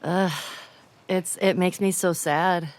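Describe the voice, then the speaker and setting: A woman's voice: on the low side, fairly even intonation, takes it slowly. A woman giving casual, emotionally invested commentary, reacting to the scenes of a game.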